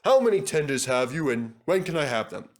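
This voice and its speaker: A man's voice: medium pitch, very animated, fairly quick, lightly acted. A man voicing a jealous, pompous, huffy male steam engine in a children's story. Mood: eager.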